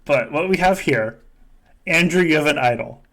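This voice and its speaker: A man's voice: fairly high pitch, lively intonation, normal speed, fairly bright tone. A man hosting a game stream in casual, joking chat, with wry, profane, ranting asides.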